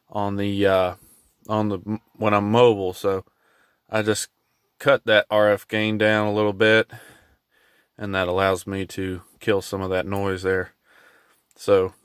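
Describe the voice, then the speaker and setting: A man giving a casual, unscripted how-to vlog in a laid-back, folksy, halting way. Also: fairly low pitch, fairly bright tone, clean tone, no echo, studio quality. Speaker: hobbyist vlogger showing his truck radio install. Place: in and around a pickup truck in a driveway.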